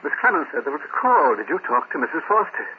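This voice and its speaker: Through a muffled vintage radio recording with faint noise comes an earnest, worried doctor. His educated, measured speech moves expressively up and down with urgent authority. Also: a little high, sing-song. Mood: anxious, urgent. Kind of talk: old-time radio drama acting.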